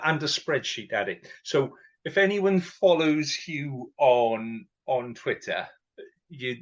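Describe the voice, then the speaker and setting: A man's voice: medium pitch, muffled recording. A man hosting a podcast in a casual, chatty way, joking at his own expense. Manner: chatty, self-mocking, laughs between points. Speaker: podcast host.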